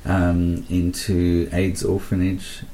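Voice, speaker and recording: Low, mellow South African man. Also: completely flat delivery. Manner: earnest, hesitant, many pauses and ums. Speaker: interview guest. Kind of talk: casual radio interview.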